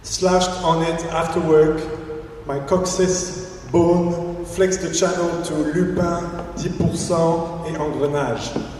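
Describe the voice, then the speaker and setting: A man's voice: a little high, fairly even intonation, slow pace, a little mellow, slight background noise. A man whose first language is French, a poet and French teacher, reading poems aloud at a live event in a church. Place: large echoey church hall. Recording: echoey church hall, distant mic.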